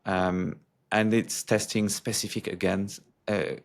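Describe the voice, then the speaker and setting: Guy being interviewed as a security exec, lowish voice with lots of fry, halting and full of ums. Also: level tone. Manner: halting, filler-heavy explanations. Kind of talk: interview answers.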